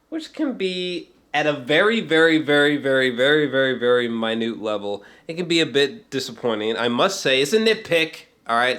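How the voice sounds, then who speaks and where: A man giving casual, unscripted film commentary as a reviewer, rambling with repeated words and throat clearing, in a slangy, profane and emphatic way. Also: mid-range voice, a bit bright.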